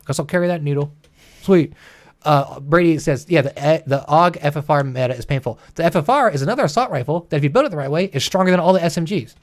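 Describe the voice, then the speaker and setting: A man's voice: a little high, expressive, fast. A man co-hosting a gaming livestream, chatting casually with an exasperated, sweary, ranting manner.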